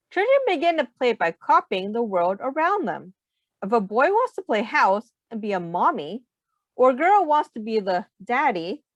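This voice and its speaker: A woman reading aloud in an instructive, deliberate way with expressive emphasis. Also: on the high side, no room sound. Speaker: teacher reading a picture book aloud. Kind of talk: read-aloud narration.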